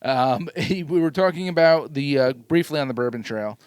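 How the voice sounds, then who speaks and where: A man's voice: fairly high pitch, moderate pace, clean tone, no room sound. A man hosting a casual podcast chat, teasing his co-hosts and steering the talk. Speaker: podcast host.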